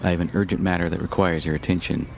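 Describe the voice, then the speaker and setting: A man's voice: low voice, fairly even intonation, gravelly. A man playing a cool, formal and calculating government recruiter in an audio drama. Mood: formal, insistent. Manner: formal, measured, insinuating. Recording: music under the dialogue.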